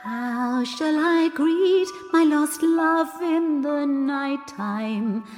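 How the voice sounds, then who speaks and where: A woman's voice: high-pitched, extremely slow, a little mellow, soft background music. A woman playing a mourner, singing a haunting, sorrowful ballad to a lost love in an audio drama. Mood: mournful. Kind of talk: slow haunting sung ballad. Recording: long cavernous reverb.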